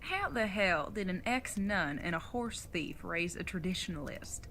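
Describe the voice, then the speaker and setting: Woman playing bright-voiced, wry old outlaw mother. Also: lowish voice, animated, normal speed, a little hiss or hum. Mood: teasing, wry. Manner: folksy, plain-spoken, teasing, rural idiom. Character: retired outlaw mother, older, wry, folksy and affectionate. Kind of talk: audio drama acting.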